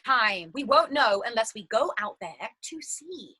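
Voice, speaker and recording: A woman's voice: fairly high pitch, very animated, a little slow, bright, forceful tone, husky, full character voice. A woman playing a restless, yearning figure desperate to escape, speaking insistently and with passion in a stage play. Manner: insistent, impassioned.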